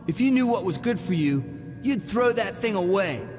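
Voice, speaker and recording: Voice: mid-range voice, lively intonation, moderate pace, a little mellow, natural voice. An actor playing a brave, hot-headed young hero boy in a video game cutscene, speaking in an earnest, emphatic way. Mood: determined. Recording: long reverb over game music.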